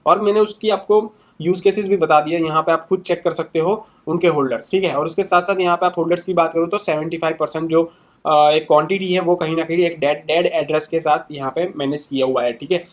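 A young man with a fairly high voice talks loosely through an analysis in an indoor room. He sits at a webcam and keeps tagging his sentences with quick fillers as he walks through charts. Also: steady tone. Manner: conversational, fillers and tags after many sentences. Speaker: crypto channel host. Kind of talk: casual screen-share market analysis.

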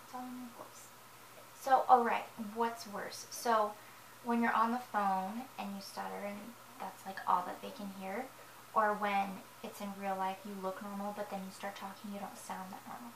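A young woman with a high, girlish voice, rambling in a personal vlog from her sofa with lots of fillers and self-corrections. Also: flat delivery, moderate pace, fairly bright tone. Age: young adult. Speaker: vlogger. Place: living room, seated on a sofa. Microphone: camera in front of her. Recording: echoey room with noise.

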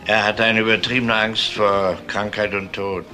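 Bright-voiced, dry police detective. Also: male voice, lowish voice, level tone, moderate pace, faint music behind. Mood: dry, probing. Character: dry, methodical middle-aged police detective. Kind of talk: German crime radio drama acting.

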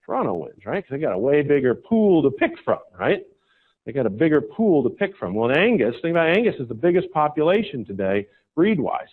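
A man from the United States giving a folksy, persuasive conference talk, often checking in with the audience. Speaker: conference speaker, cattle breeder. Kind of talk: conference presentation. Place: conference hall stage. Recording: tinny, phone-like low-fi recording.

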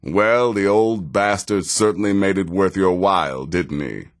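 An actor playing a sardonic middle-aged desert assassin in a fantasy video game, with a very deep, gravelly voice and a dry, measured, theatrical delivery. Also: male voice.

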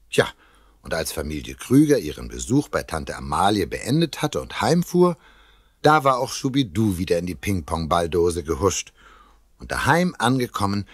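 An actor playing a chatty narrator who tells a children's story and addresses the listeners. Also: male voice, on the low side, breathy edge, dry recording, studio quality, heavily acted.

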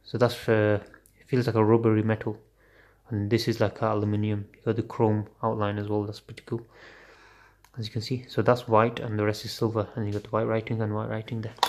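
A man's voice: on the low side, flat delivery, fairly quick, darkish tone, slight background noise. A man casually unboxing and trying out a gadget, thinking aloud and swearing offhandedly, recorded on a phone at home at night. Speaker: unboxing video host. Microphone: phone camera filming hands on a table.

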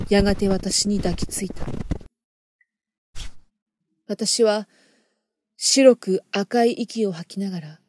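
A woman performing a first-person horror story narration in a theatrical, intense manner, moving between hushed and emphatic delivery. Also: medium pitch, slight background noise.

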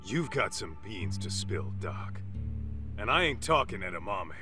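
A streetwise, middle-aged tough-guy detective for a video game. His low voice takes its time yet swings widely in pitch, blunt and full of slang, with music playing behind him. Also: big pitch swings, measured pace, pushed and bright, heavily acted. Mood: blunt, pressing.

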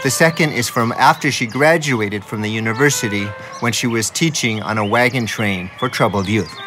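A man's voice: medium pitch, normal speed. A man hosting a documentary with scripted narration. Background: music.

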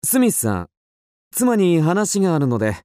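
Radio drama: actor as genteel husband, teasing and condescending, dark-toned, lively intonation. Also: male voice, high voice, normal speed, clean tone, no echo, studio quality. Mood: courteous. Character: suave, indulgent upper-class husband, gently chiding.

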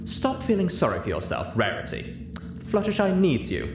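Elegant unicorn mare for audiobook fiction, male performer, lifted swooping pitch, fragile composure, noisy track. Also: wildly swooping pitch, normal speed, exaggerated voice. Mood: stern resolve. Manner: choked up, forcing steadiness. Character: elegant female unicorn, distraught but forcing composure. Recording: roomy echo, audible noise, low rumble.

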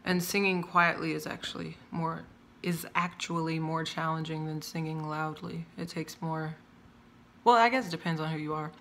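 A young woman rambling in a casual vlog rant, with a loud, projecting voice, chatty and prone to wandering off and interrupting herself, recorded on a phone held at arm's length in a room at home. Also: deep voice, fairly even intonation, moderate pace, a little hiss or hum. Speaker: vlogger. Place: indoors by large windows, home room. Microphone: phone camera at arm's length.

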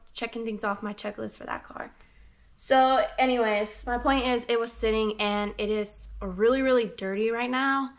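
A woman's voice: mid-range voice, a bit bright. A young woman chatting casually in her garage for her car vlog, rambling with lots of fillers, recorded by a camera set on the floor. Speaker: car vlogger. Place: home garage, indoors.

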